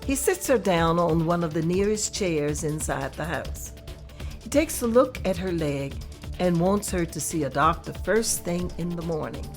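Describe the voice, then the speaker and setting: A woman narrating scene directions in a table read, plain and steady. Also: on the low side, a little slow, faint music behind.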